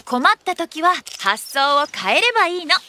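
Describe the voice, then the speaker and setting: A woman playing a cheerful young mother in a children's cartoon, with a gentle, reassuring manner. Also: sits high, sing-song, moderate pace, faint music behind, full character voice. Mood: cheerful, instructive.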